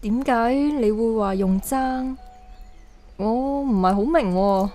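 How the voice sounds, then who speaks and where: A young actress playing a gentle woman haunted by lost love in a radio drama, with a Hong Kong Cantonese accent. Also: on the high side, slow pace, soft background music. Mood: tender. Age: young adult.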